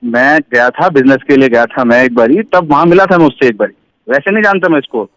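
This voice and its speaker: A man's voice: medium pitch, fast talker, a bit bright, a little hiss or hum. A man in casual phone talk, protesting and repeating himself as a prank call victim. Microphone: telephone. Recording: dull lo-fi phone line.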